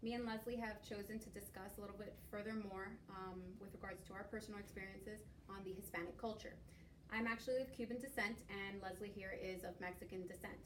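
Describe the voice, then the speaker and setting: A woman's voice: mid-range voice, fairly even intonation, fast talker. Young woman from Miami, echoey room. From Miami, United States. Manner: hesitant, many fillers. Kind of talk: class presentation conversation. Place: skills lab room. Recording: roomy echo, mic at a distance, faint noise.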